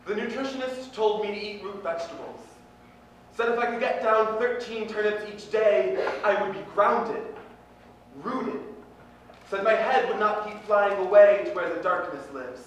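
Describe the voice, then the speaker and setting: A man performing spoken-word poetry with impassioned, deliberate, earnest phrasing. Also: high-pitched. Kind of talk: slam poetry recitation, dramatic and earnest. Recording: very echoey room, distant mic, faint noise.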